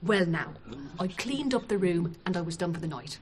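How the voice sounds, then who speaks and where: A woman's voice: fairly low pitch, expressive, normal speed, natural voice. A woman playing a chatty, deferential hotel chambermaid giving earnest, insistent answers in a radio drama. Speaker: actor playing a chambermaid witness.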